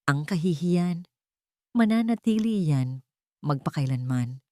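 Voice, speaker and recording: A woman's voice: sits low, lively intonation, slow, darkish tone, no room sound, studio quality. A woman voicing a cold, proud, wealthy matriarch in an audio drama, speaking with bitter menace. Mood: cold, menacing. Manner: bitter, threatening, whispering venom.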